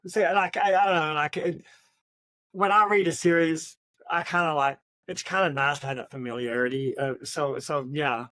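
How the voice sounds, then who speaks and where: Man, casual and halting, dry sound. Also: on the high side, pushed and bright, dry recording. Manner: hesitant, repeats words. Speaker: podcast co-host. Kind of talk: casual book discussion.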